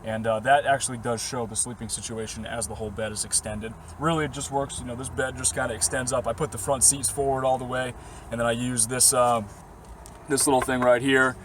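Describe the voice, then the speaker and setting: A young man with a slightly hoarse, worn voice talks casually and rambles easily, with plenty of fillers and short laughs. His pitch sits in an ordinary middle range. Faint outdoor noise hums behind him in his parked camper van. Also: flat delivery, fairly quick, fairly bright tone. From Boston area. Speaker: vlogger answering viewer questions. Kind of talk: casual chat to camera.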